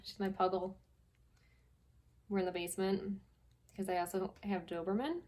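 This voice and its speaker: A woman, a teacher, talking chattily and reading expressively to young children as a guest storyteller. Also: mid-range voice. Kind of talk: casual talk and picture-book read-aloud for children. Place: basement.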